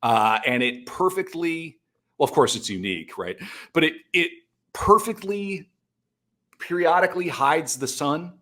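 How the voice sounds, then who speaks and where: A man in casual podcast conversation, riffing in an animated way with emphatic repetitions. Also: on the high side, unhurried. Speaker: playwright guest. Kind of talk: casual conspiracy chat.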